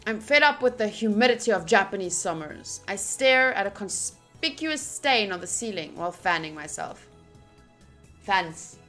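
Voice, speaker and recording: A woman streamer reading a visual novel's narration aloud during a playthrough, with expressive, gushing asides. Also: medium pitch, normal speed, soft background music. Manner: expressive, gushing asides between read passages.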